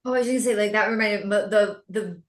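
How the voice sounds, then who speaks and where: A woman guest on a podcast telling a story in a lively, self-deprecating way. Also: medium pitch, fast. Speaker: podcast guest, money speaker, former performer. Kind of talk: casual storytelling chat. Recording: echoey room.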